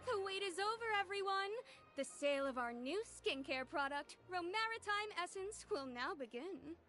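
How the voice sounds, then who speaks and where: High, bright sales announcer. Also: female voice, animated, normal speed, soft background music, full character voice. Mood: eager. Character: bright, eager female product announcer. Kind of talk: video game voice acting, sales announcement.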